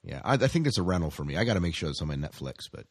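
Low-voiced man bantering on a podcast. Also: rapid-fire, husky, no echo, clean studio sound. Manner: joking, bantering, excitable. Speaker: podcast co-host. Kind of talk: casual podcast chat.

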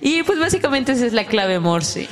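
A woman's voice: on the high side, sing-song, normal speed, soft background music. A woman with a Mexican accent co-hosting a podcast in a casual, conversational style. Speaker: podcast co-host.

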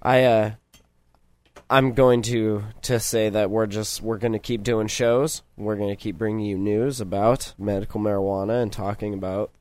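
Gruff-voiced man hosting a podcast. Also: on the low side, fairly even intonation, moderate pace, a little mellow. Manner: rambling, loose, with frequent restarts and laughs. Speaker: podcast host. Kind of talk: casual podcast chat.

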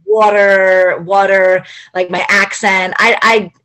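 A woman from New Jersey, a teacher, chatting as a podcast guest over a remote call, bubbly and quick to laugh. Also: medium pitch, little pitch movement, fairly bright tone, rough recording. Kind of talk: casual podcast chat.

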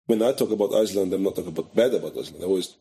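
A middle-aged man from Brazil with a Brazilian Portuguese accent and Portuguese as his first language, telling stories animatedly as an interview guest in halting second-language English and acting out the voices he quotes. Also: sits low, warm tone, husky. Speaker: guest, photographer. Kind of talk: casual conversational interview.

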